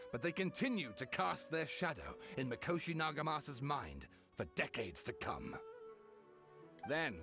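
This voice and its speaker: A British male novelist from a fantasy game, declaiming his tale theatrically. His highish voice is bright and animated, with some gravel in it, over faint music. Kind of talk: dramatic game storytelling. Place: studio voice recording.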